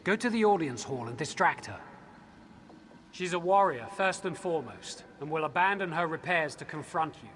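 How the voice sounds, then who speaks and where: An actor playing an earnest elf leader in a video game, giving measured, solemn instructions with urgency. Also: male voice, a little high, animated, fairly bright tone, a little hiss or hum. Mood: grave, urgent. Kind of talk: video game quest dialogue.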